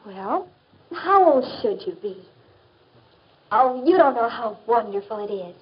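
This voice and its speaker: A self-assured American teenage girl from a 1950s instructional film. She speaks in a fairly high voice with a confident, advising manner. The vintage track sounds dull. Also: sing-song, unhurried. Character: assured teenage girlfriend pushing advice on her friend. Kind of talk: 1950s educational film acting.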